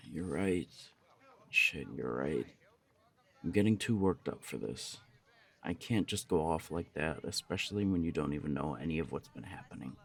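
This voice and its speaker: A very low, gravelly voice for a young punk roommate , acted by a man, jealous and easily flustered. The delivery is intimate roleplay audio, halting and confessional, with a hot temper under it and plenty of cursing. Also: animated, heavily acted. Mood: apologetic, subdued. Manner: confessional, halting, swearing, emotionally worked up. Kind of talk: ASMR roleplay audio drama, one side of a dialogue.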